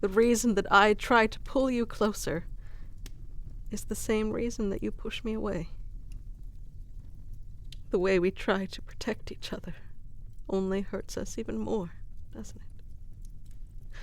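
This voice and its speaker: Slow-spoken, devoted fantasy noblewoman, acted by a woman. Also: medium pitch, steady tone, lightly acted. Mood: solemn, wistful. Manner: earnest, dramatic, formal storybook phrasing. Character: devoted, lovestruck fantasy noblewoman, earnest and gently teasing. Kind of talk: ASMR romantic audio roleplay, scripted.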